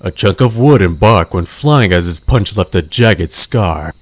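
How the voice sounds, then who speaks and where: A man narrating a scripted adventure story in a measured, dramatic storybook manner. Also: low-pitched, expressive, no room sound.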